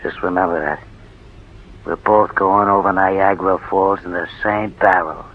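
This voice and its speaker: An actor playing a desperate, threatening gangster in a 1940s radio drama, slangy and hard-boiled. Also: male voice, low voice, steady tone, moderate pace, music in the background. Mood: menacing. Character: desperate, threatening male crook with a gun. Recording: dull old radio recording.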